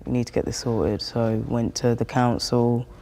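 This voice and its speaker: A British man talks casually, as if recounting things to someone he knows. His pitch is middling and moves little, and his wording is colloquial, with reported exchanges dropped into the telling. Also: little pitch movement, normal speed, warm tone. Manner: colloquial, acts out remembered exchanges. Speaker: housing tenant interviewing another tenant. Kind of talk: casual personal storytelling and chat.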